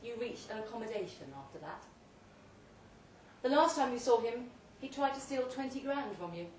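Woman reading a short story live, punchy with pauses, roomy pub sound, bit far from the mic. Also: fairly high pitch, big pitch swings, a little mellow. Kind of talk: dramatic literary reading, scripted second-person narration. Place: indoor pub event, before an audience. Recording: roomy live pub recording, distant mic, faint noise.

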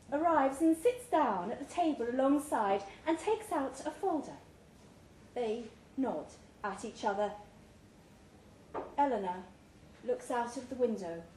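A woman's voice: sits high, darkish tone. A woman reading a literary short story aloud at a live event. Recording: roomy live recording with clear background noise.

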